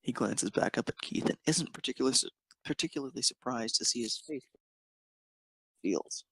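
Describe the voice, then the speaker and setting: A man with a creaky, husky voice narrating a romantic story expressively. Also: mid-range voice, moderate pace, a bit bright, no echo, rough recording.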